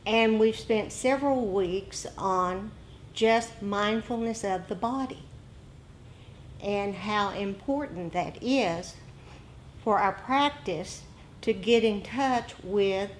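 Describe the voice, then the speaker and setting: Woman, meditation teacher, very slow pace, halting deliberate phrasing, dharma talk, light background noise. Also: mid-range voice.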